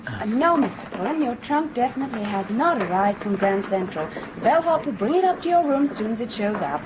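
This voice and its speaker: An actress playing a brisk, polite hotel receptionist in a radio drama. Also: female voice, fairly high pitch, big pitch swings, noisy background. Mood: brisk, helpful. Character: brisk, polite female hotel desk clerk.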